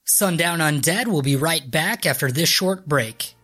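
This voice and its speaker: A woman podcast host reads a scripted promo. Her voice is a little low, and music plays clearly behind her. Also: expressive, a little slow, a bit bright. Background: music bed.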